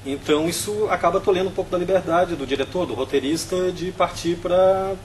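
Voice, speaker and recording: A Brazilian man on a film review panel, explaining his views in a steady, analytical way. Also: high voice, brisk, slight background noise, poor audio.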